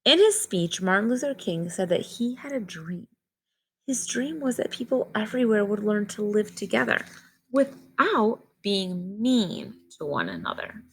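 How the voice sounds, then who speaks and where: A woman reads a children's picture book aloud in a mid-pitched voice with noticeable creak. She speaks in short, simple phrases, slipping from the reading into informal explanations aimed at young listeners. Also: animated, measured pace, poor audio. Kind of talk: read-aloud storytelling with simple explanatory asides.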